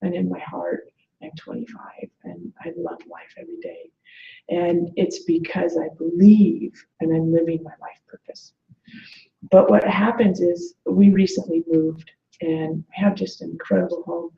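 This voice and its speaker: A woman's voice: on the low side, steady tone, unhurried, creaky, slight background noise. A woman in her late forties speaking as a webinar guest in a conversational, motivational coaching style, earnestly illustrating her points with quoted remarks. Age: late 40s. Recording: muffled, echoey webinar audio.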